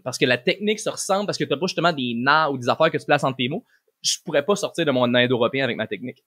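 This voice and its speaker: A man's voice: medium pitch, a bit bright, no room sound. A man with a Québécois French accent, a guest language coach explaining things casually and in slangy, informal words. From Quebec, Canada.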